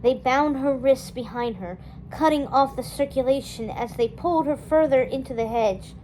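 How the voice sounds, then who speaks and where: Female storyteller for children's fiction read-aloud, fairly high voice, some background noise. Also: normal speed, a little mellow, low-quality recording.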